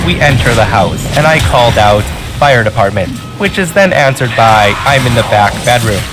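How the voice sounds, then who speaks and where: A man narrating online stories aloud in a lively, playful reading with shifting emphasis. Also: medium pitch. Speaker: story narrator. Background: loud music.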